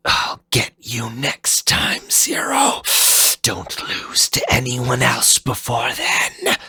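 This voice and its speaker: A man's voice: mid-range voice, huge pitch swings, takes it slowly, vocal fry, bright, forceful tone, slightly rough, no room sound, voice pushed up, heavily acted. A man voicing a proud, battle-hungry male combat robot in a fan fiction story, with a theatrical, taunting delivery. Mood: bitter defiance.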